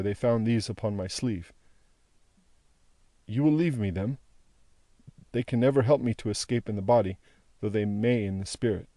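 A man voicing a bewildered, broken old prisoner in an audiobook, halting and repeating himself in wonder. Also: medium pitch, mellow, no room sound, lightly acted. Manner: halting, repetitive, wondering speech.

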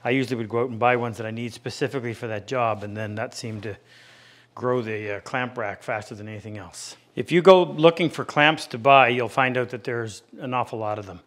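A man's voice: medium pitch, brisk, a bit bright. A man in his fifties giving a plain-spoken, practical hands-on lesson as a woodworking instructor in a workshop. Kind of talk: hands-on instructional demonstration, unscripted explanation.

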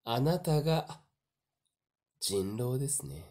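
A man playing a smug young madman in a voice drama, suave, mocking and drawn-out in his delivery. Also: mid-range voice, big pitch swings, darkish tone. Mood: smug, probing. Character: smug, theatrical young male madman relishing a deadly game. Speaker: voice actor. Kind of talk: anime-style voice drama acting.

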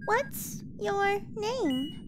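A sweet little girl from a video game, polite and curious. The voice is very high and lilting, spoken slowly over noisy ambience. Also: big pitch swings, exaggerated voice. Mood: curious, friendly. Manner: childlike, slow. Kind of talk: fan dub game voice acting.

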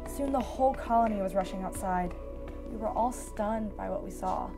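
An actress playing a wistful disaster survivor in a radio drama, recalling the past in a measured, reflective way. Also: female voice, mid-range voice, over music, close to an everyday voice. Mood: solemn. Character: female asteroid-disaster survivor recalling shelter life, wistful. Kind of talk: radio drama acting as interview testimony.

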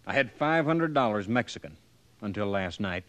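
A man's voice: on the low side, expressive, moderate pace, a bit bright. A man playing a weary, plain-spoken drifter cowboy in an old-time radio western, with a folksy, laid-back manner. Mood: plain, defensive.

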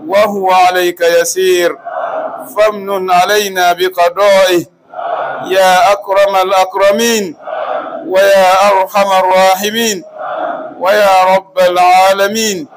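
Imam type, middle-aged man from Burkina Faso, high steady chant called out to a big hall full of worshippers. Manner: intoned, calling out loudly over the congregation. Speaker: imam leading prayer. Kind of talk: chanted supplication in prayer. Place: large mosque hall, indoors, congregation standing.

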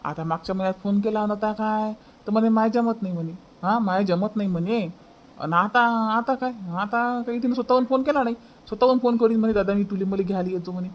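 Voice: medium pitch, quick pace, lo-fi sound, close to an everyday voice. A performer voicing a grudging village husband who repeats himself, in an amateur cartoon family comedy, with a Varhadi Marathi accent. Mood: grudging, placating.

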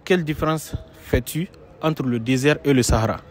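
A young man hosting a casual street interview outdoors, bantering in an animated way into a handheld phone. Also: on the high side, moderate pace. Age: young adult. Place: open paved outdoor plaza. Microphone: handheld phone shared with guest. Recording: clearly noisy outdoor phone recording.

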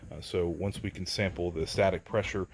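A man's voice: low-pitched, fairly even intonation, normal speed, a little hiss or hum. A man in his forties, an HVAC contractor, explaining a test in a practical, plainspoken way inside a house under construction, filmed on a handheld phone. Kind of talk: instructional job-site vlog.

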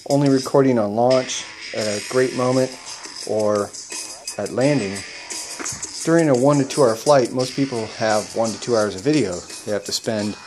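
A man's voice: mid-range voice, low-quality recording. A man casually explaining his home-built gear in a plain, matter-of-fact way, recorded indoors at home. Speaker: paraglider showing his gear. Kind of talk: casual product demo.

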